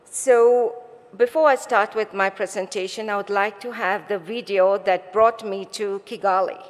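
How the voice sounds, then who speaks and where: A middle-aged woman scientist presents to an audience in a big, reverberant hall. Her voice sits at a medium pitch, and she explains step by step, posing rhetorical questions. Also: steady tone, moderate pace. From Botswana, born in Sri Lanka. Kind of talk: conference talk to an audience. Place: conference stage in a large hall.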